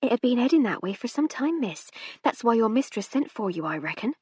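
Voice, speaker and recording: Woman playing a gossipy young housemaid, colloquial, breathy voice. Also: on the high side, very animated, fairly quick, mellow, dry recording, clean studio sound, pitched above the performer's own voice. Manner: colloquial working-class speech. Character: chatty young housemaid, plain-spoken.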